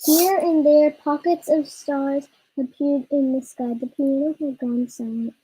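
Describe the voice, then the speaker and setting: A young girl reading a story aloud haltingly, stumbling and repeating words. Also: sits high, steady tone, mellow, low-quality recording. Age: child. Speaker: child co-reader of a storytime.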